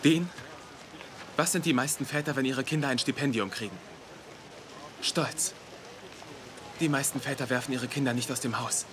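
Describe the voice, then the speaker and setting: An actor plays a young man, a rebellious younger son, in dramatic dialogue. His mid-range voice is earnest and wounded. Clear outdoor background noise runs behind him. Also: medium pitch, fairly even intonation, normal speed, long pauses, close to an everyday voice. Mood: hurt, defensive. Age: young adult. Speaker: actor in an audio drama or dubbed series.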